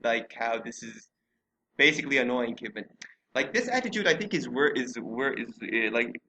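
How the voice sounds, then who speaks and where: A man's voice: mid-range voice, lively intonation, normal speed, sharp tone, slight background noise. A man hosting a casual podcast discussion, hesitant and often repeating words as he talks. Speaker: podcast host.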